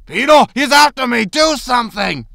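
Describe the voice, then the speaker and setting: A man's voice: very high voice, lively intonation, normal speed, creaky, sharp tone, a little hiss or hum, full character voice. A man playing a harried, wry spaceship captain with a rambling manner in a science fiction comedy audio drama. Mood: panicked.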